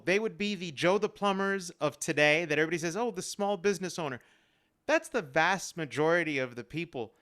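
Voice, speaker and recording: A man's voice: a little high, fairly bright tone. A man speaking as a panel guest on a live stream, telling personal stories earnestly and repeating phrases for emphasis. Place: remote live-stream video call.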